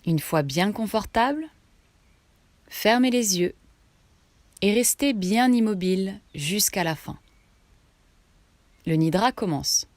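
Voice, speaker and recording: A woman guiding a relaxation meditation, giving instructions in short phrases with pauses between them. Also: mid-range voice, lively intonation, a little mellow, dry recording. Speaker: yoga nidra meditation guide.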